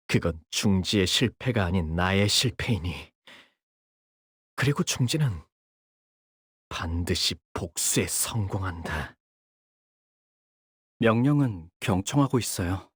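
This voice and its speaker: A man's voice: low-pitched, expressive, takes it slowly, pauses often, fairly bright tone, husky, no room sound, studio quality, full character voice. A young man voicing a video game character, a dutiful young syndicate soldier who speaks formally and can turn coldly menacing. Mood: grim, vengeful. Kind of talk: acted character voice lines.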